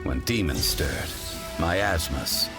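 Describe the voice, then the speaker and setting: A man's voice: extremely low pitch, expressive, takes it slowly, gravelly, heavily acted. A man playing a solemn, portentous narrator of an ancient legend in a video game. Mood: grave. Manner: grave, portentous. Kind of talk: dramatic scripted game narration. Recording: loud background music.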